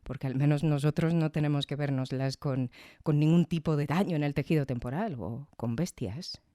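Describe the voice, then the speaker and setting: Mellow, low-voiced woman podcaster. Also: moderate pace, no echo, studio quality. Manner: reflective, tag questions. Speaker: podcast host. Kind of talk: scripted commentary, conversational.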